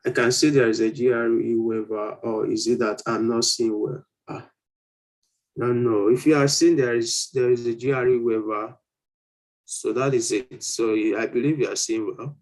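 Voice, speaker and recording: A man's voice: mid-range voice, fairly even intonation, moderate pace, low-quality recording. A man hosting an informal question-and-answer session, explaining things and often rephrasing his points. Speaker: host answering applicants' questions. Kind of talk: informal live Q&A, reading questions aloud and advising.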